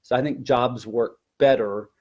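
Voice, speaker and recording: Male teacher trainer, mid-pitched, conversational and explanatory, webinar delivery with demonstrated classroom instructions. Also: steady tone, unhurried, darkish tone, highs cut off. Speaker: teacher trainer, elementary language teacher.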